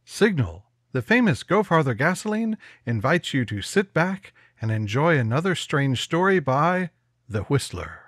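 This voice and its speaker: A man giving a formal, booming 1950s-style radio sponsor announcement. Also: big pitch swings, unhurried, fairly bright tone, slight background noise.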